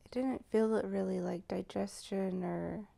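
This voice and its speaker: Slow, creaky, hushed woman guiding meditation. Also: medium pitch, steady tone. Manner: slow, hushed, halting delivery with pauses. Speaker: guided meditation host. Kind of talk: channeled guided meditation, improvised.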